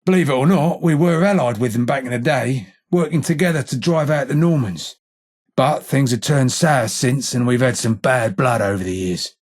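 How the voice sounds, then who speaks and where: Man acting a husky, sardonic old English ghoul. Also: medium pitch, moderate pace, a little mellow, close to an everyday voice. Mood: grave, reflective. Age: older. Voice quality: husky, rough. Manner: blunt, slangy, salty-tongued. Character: gruff, sardonic old blind ghoul, gang boss's right-hand man. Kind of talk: video game character dialogue.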